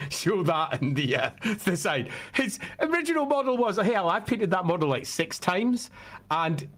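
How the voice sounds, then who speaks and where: A man with a slightly croaky, hoarse voice, talking casually and emphatically as a host explaining fan topics. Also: high voice, big pitch swings, unhurried, a bit bright.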